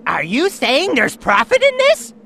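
A man's voice: very high voice, huge pitch swings, unhurried, gravelly, sharp tone, a little hiss or hum, exaggerated voice. An actor playing a cocky, lazy young male hawk gang leader in a video game. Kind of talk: video game cutscene acting.

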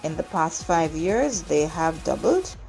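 A woman with a low voice narrates an informal travel promotion over music. She talks slowly and deliberately, breaking her sentences into short, halting phrases. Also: big pitch swings, creaky, a little mellow, rough recording. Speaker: travel agent and video host.

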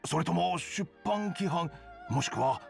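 A deferential young bookshop editor in a fantasy game. His voice is high and animated, with some creaky fry. He is flustered, hesitant and extremely polite. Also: a little slow, soft background music, full character voice. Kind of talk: Japanese game voice acting.

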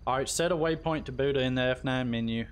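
A man's voice: mid-range voice, fast, slight background noise. A man streaming a video game, chatting casually with informal, folksy exclamations and swearing. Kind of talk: casual gaming livestream chat, swearing.

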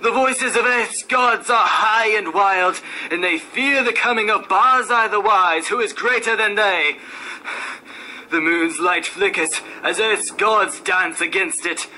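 A man voicing a wild prophet in a horror story, declaiming and shouting in frenzy. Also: high-pitched, unhurried, pitched above the performer's own voice, heavily acted. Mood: wild delight. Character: exultant wild old prophet, shrieking from ecstasy into terror. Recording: noisy radio station room.

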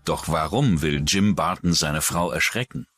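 A man's voice: a bass voice, measured pace, fairly bright tone, faint music behind. A man reading scripted narration for a true-crime documentary.

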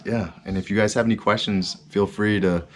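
Deep-voiced man vlogging in his basement. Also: steady tone, brisk. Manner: rambling, earnest, many fillers and restarts. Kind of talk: casual vlog to camera. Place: small finished basement room with bed, indoors.

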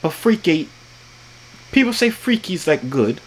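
A man's voice: sing-song, unhurried, a little hiss or hum, low-quality recording. A young man in casual prank chat on a video call, putting on a fake British accent, jokey and playful in a small bedroom. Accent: fake British put on over American. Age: young adult. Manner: playful, jokey, bursts into loud high exclamations.

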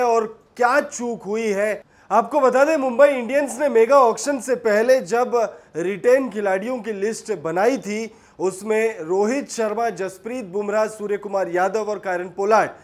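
High-voiced young man reading sports news. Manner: emphatic, repetitive, standing with hands pressed together. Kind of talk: sports news bulletin to camera. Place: studio in front of a screen.

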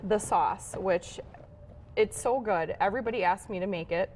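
A woman's voice: mid-range voice, normal speed, noisy background. A woman in her thirties from Wisconsin, chatting casually as a home cook showing a recipe on TV. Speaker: home cook guest on a TV show. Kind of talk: casual cooking demonstration and chat. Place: studio kitchen set. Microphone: lapel mic.

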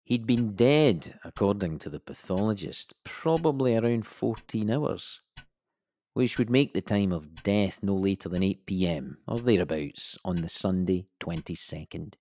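An actor playing a middle-aged Scottish police inspector who gives measured, wry, matter-of-fact testimony in an audio drama. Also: male voice, low voice, mellow. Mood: matter-of-fact, reflective. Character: dry, methodical male Scottish police inspector.

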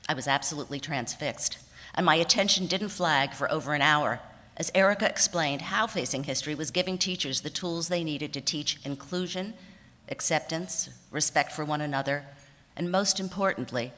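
A woman in her sixties giving an award acceptance speech from notes at a podium, warm and self-deprecating, with small laughs and restarts. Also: low voice. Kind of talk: prepared speech read from notes. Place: banquet hall stage at a podium. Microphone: podium microphone on a stand. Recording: reverberant banquet hall.

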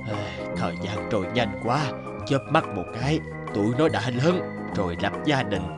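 A man voicing a gentle, wistful old man in a cartoon, reflective and unhurried. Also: on the low side, lively intonation, over loud music, natural voice.

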